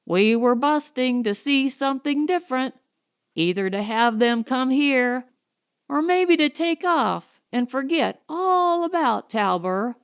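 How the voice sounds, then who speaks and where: A woman's voice: high-pitched, expressive, slow pace, smooth, pitched above the performer's own voice, heavily acted. A woman voicing a folksy male colonist who talks in an earnest, colloquial way, in an audiobook story.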